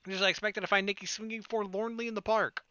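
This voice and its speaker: A man's voice: sits high, flat delivery, quick pace, bright, forceful tone, smooth, no room sound, highs cut off. A man playing a visual novel, reading its narration and dialogue aloud expressively with casual asides. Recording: game music behind the voice.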